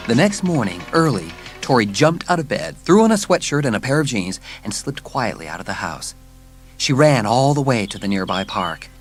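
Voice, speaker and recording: A man's voice: medium pitch, very animated, normal speed. A man narrating a children's story as scripted, dramatised audiobook narration. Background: music.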